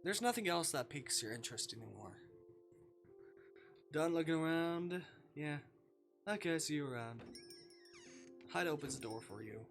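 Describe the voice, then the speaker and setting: A livestreamer reading a visual novel aloud and commenting on it, expressive and sweary, with dramatic delivery. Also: mid-range voice, moderate pace, pauses often. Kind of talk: livestream gameplay commentary mixed with read-aloud narration. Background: music.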